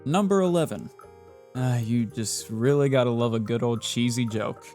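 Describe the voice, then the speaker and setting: A man's voice: medium pitch, moderate pace, a little mellow. A man narrating a scripted facts video in a chatty, theatrical way, with playful asides. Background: background music.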